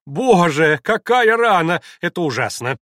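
A man's voice: high-pitched, lively intonation, fairly quick, fairly bright tone, no echo, clean studio sound, pitched above the performer's own voice. A man reading aloud, voicing a theatrical, flustered middle-aged society mother who gushes and exclaims. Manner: gushing, exclamatory, melodramatic.

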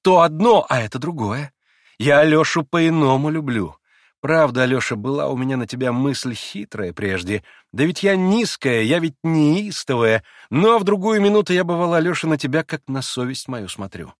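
A man reading an audiobook and voicing a passionate young woman who is proud, emotional and quick to flare. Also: a little high, lively intonation, dry recording, clean studio sound, pitched above the performer's own voice, close to an everyday voice. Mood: confessional.

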